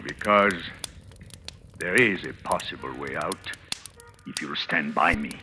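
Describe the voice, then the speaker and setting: A man's voice: a bass voice, unhurried, bright, forceful tone, a little hiss or hum, heavily acted. An actor playing a scheming, self-assured husband in a 1940s radio thriller, theatrical and intense, confessing his own crime. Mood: urgent, persuasive. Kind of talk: 1940s radio thriller acting, first-person confessional narration. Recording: old radio recording with dramatic music.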